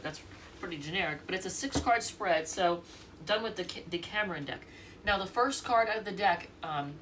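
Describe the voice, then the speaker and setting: A woman's voice: on the low side, recorded from a distance, background noise. A congested woman with a stuffy nose giving a casual, rambling tarot reading, thinking aloud and restarting often. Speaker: tarot reader.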